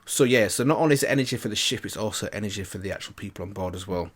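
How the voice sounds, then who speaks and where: A man's voice: lowish voice, rapid-fire. A man hosting a reaction video, musing aloud in casual commentary and repeating himself as he thinks.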